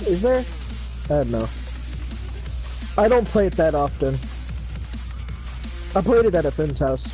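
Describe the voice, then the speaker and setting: A man chatting casually during a game livestream, rambling with fillers and swearing, his voice going hoarse. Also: fairly high pitch, big pitch swings, measured pace, pauses often, dark tone, faint music behind. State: losing his voice. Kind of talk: casual chat.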